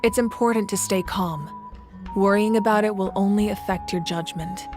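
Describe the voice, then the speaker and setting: A woman playing a calm, wise leader of warriors in a video game, speaking in a measured, formal and reassuring way. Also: medium pitch, lightly acted. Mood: calm, encouraging. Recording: music behind the voice.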